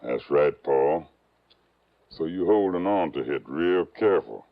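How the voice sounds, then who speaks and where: An actor playing a huge, menacing backwoods killer with a rural Southern US accent and slow, ungrammatical talk, in 1950s radio drama style. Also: male voice, a bass voice, animated, exaggerated voice. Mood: menacing, pleased. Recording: muffled old radio recording, faint music.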